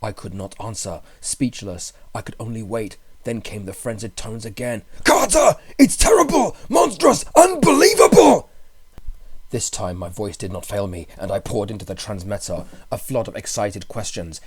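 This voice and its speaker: A man with a husky voice narrating a horror story in a grave, theatrical manner. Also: fairly low pitch, steady tone, normal speed, hardly any pauses, darkish tone. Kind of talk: dramatic horror audiobook narration.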